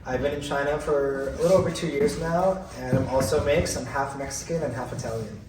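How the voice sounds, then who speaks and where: A man from California telling his story casually, relaxed and full of fillers. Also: mid-range voice, brisk. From California, USA. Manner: relaxed, chatty, many "like" and "you know" fillers. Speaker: guest. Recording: roomy, distant mic, faint noise.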